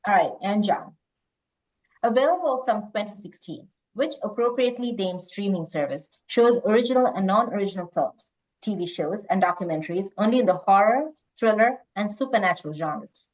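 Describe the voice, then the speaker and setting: A woman presents a quiz, moving between read-out questions and casual banter. Her pitch is average, and the recording is dull and lo-fi. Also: expressive, normal speed. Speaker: quiz host reading questions. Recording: dull lo-fi online call audio.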